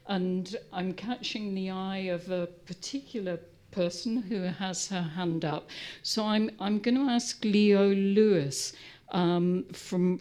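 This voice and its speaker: Woman, slow speech, mid pitch, informal panel moderator. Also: darkish tone. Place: conference breakout room.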